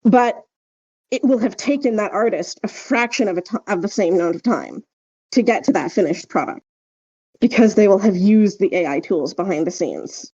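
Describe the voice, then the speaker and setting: A woman's voice: mid-range voice, lively intonation, moderate pace, warm tone, husky, dull audio. A woman co-hosting a casual podcast discussion, explaining her points emphatically and often checking with a tag question. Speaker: podcast co-host.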